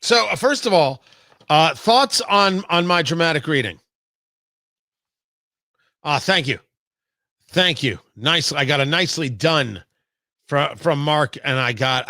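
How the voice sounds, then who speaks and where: A man's voice: on the high side, expressive, takes it slowly, long pauses, bright, forceful tone, no room sound, clean studio sound. A man hosting a live talk show, chatting casually with viewers in a playful, rambling way full of asides and mock indignation.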